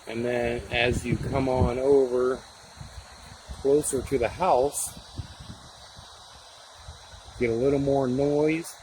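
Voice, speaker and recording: A man's voice: mid-range voice, noisy background. An American man giving a casual show-and-tell narration as a hobbyist video host.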